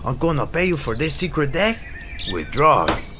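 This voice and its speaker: A sly, swooping, shady comedy client. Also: male voice, fairly high pitch, big pitch swings, normal speed. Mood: conspiratorial. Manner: sly, conspiratorial, slangy. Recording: noisy outdoor sound with birdsong.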